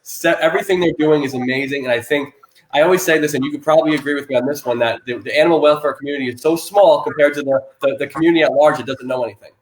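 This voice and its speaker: A man hosting an animal rescue live stream, talking loudly in a rambling way full of restarts and fillers. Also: a little high, rapid-fire, echoey room, rough recording. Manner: loud, self-described loud person. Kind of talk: casual live-stream interview.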